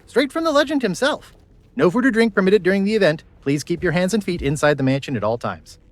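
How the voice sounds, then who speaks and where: A man's voice: high voice, animated, quick pace, no room sound. A male actor playing a vain, boyish, self-important pirate hero with theatrical delivery in a video game. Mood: grandly boastful. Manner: pompous, theatrical. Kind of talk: video game cutscene acting.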